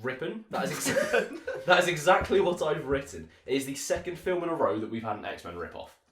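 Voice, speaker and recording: A man's voice: fairly high pitch, very animated, brisk, a crowd in the background. A man in casual podcast discussion, speaking in a blunt, dry way in an echoey room.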